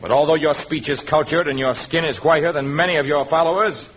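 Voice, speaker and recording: A man playing a cultured jungle hero in a vintage radio adventure, with a measured, formal delivery. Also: on the high side, a bit bright, close to an everyday voice. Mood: defiant. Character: calm, cultured jungle hero held prisoner. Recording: dull, noisy vintage radio recording.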